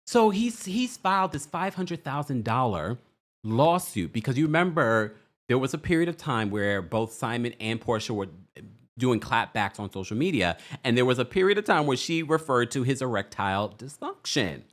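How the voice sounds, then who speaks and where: A man hosting a casual celebrity news stream, chatty and animated, with a deep voice and frequent laughs. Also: normal speed. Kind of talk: casual commentary livestream.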